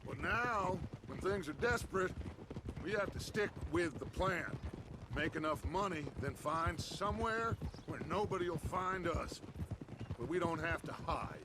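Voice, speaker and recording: An actor playing a charismatic, persuasive outlaw gang leader in a game cutscene. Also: male voice, high-pitched, expressive, a little slow. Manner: persuasive, grandiose. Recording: clear outdoor noise, horses.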